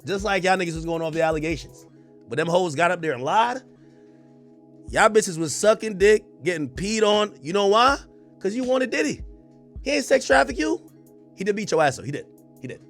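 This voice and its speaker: A man ranting in a live reaction stream, loud, profane and mocking, laughing often. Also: high-pitched, animated, a little fast, long pauses, soft background music. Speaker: streamer and commentator.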